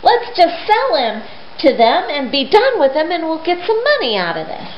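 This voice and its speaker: A woman's voice: extremely high pitch, huge pitch swings, moderate pace, pitched above the performer's own voice, exaggerated voice. A woman voicing resentful, scheming older brothers who grumble and plot in a retold story. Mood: sly plotting. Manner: grumbling, plotting. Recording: roomy, dull lo-fi, faint hiss.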